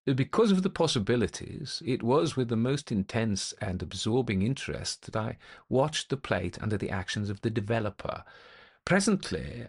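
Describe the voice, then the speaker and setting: A man narrating a ghost story as a methodical gentleman investigator, speaking in a formal, deliberate, old-fashioned way. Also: medium pitch, gravelly, husky, heavily acted. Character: male gentleman investigator recounting an eerie experience, methodical, intent.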